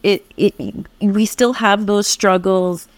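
A woman's voice: medium pitch, steady tone, takes it slowly, no room sound. A woman from the United States hosting a conversational interview, empathetically relating to the guest. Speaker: podcast host.

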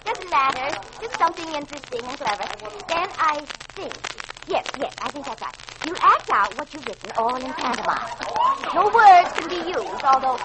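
A woman's voice: high-pitched, big pitch swings, normal speed. An actress playing a gushing, bossy middle-aged mother in a chattering, fluttery manner, in 1940s radio drama style. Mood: flustered, explaining. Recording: noisy old radio recording.

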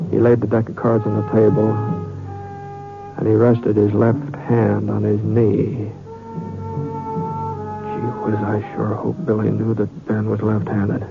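A man's voice: lowish voice, vocal fry. A man acting an easygoing American gunslinger in a 1950s radio western, speaking in a hesitant, folksy way and repeating himself. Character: easygoing western drifter gunslinger telling his tale. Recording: muffled 1950s radio recording, music.

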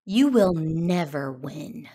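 A woman's voice: low voice, expressive, slow pace, warm tone, exaggerated voice. A woman playing a grim, defiant Jedi warrior in a science fantasy audio drama, speaking sternly and solemnly. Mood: grim resolve.

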